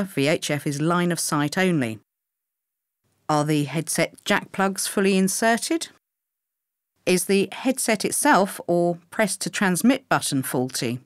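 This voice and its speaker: A woman reading scripted instructional narration for pilot training in a measured, clearly enunciated way. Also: lowish voice, dry recording, clean studio sound.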